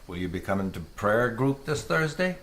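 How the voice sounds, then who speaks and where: A low-voiced American pastor in a radio play, courteous on the surface and quietly controlling. His measured speech swings in tone, and the room echoes around him. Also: big pitch swings. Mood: probing. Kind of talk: audio drama acting. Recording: roomy, echoing, faint noise.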